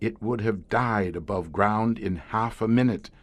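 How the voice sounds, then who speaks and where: Slow, low-voiced grim veteran scientist. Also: male voice. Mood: grave, brooding. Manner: deliberate, erudite, dramatic storytelling with brooding pauses. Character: grim veteran scientist heading a secret subway monster squad. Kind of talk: audio horror drama acting.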